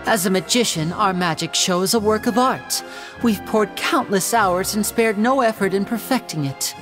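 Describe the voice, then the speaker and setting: An actor playing a polite, earnest young male stage magician in a video game, speaking in a courteous, measured and gently theatrical way. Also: high voice, music in the background, close to an everyday voice. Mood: wistful, proud.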